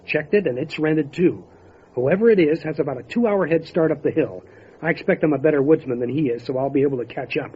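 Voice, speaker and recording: An actor playing a steady, resolute county sheriff in an old time radio drama, matter-of-fact in manner. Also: male voice, a little high, fast, breathy edge. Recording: dull lo-fi audio with light noise.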